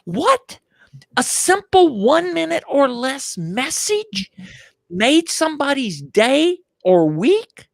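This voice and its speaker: High-voiced animated man. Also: slow, vocal fry, no echo. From United States. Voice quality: higher-pitched male voice. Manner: animated, emphatic, frequent restarts. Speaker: podcast guest. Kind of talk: enthusiastic interview storytelling.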